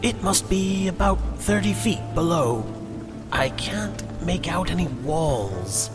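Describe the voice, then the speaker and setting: Casting: man playing earnest young male elf, slow and careful, high voice with lively swings, fantasy drama. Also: over music. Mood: careful, intent. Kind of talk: fantasy audio drama character.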